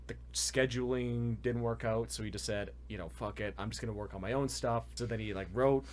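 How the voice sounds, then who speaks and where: A man's voice: mid-range voice, steady tone, a little fast, darkish tone. A young man hosting a casual music reaction, explaining band history with fanboy enthusiasm and laughter. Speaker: host.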